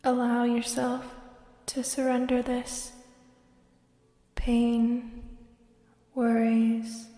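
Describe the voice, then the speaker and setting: A woman leading a guided meditation, speaking slowly and soothingly, sometimes dropping to a whisper. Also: fairly high pitch, completely flat delivery. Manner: slow, soft and soothing, drifting into whispers. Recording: roomy echo with long reverb and soft music.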